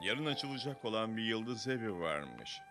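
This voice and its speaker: A man's voice: on the low side, big pitch swings, normal speed. A man voicing an easygoing, interested father in a children's cartoon dub. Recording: music behind the voice.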